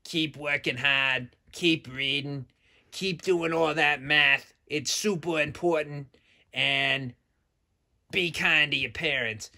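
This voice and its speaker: A man voicing a cocky, blunt talking shark puppet who addresses children in a gravelly, tense voice. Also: a little high, slow pace, bright, forceful tone, exaggerated voice. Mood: encouraging.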